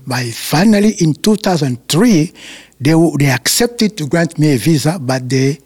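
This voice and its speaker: A man from Congo with a French-speaking Congolese accent, a pastor who talks in halting English with many fillers. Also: fairly high pitch, animated, darkish tone, husky. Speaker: pastor and seminary teacher. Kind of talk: interview answers.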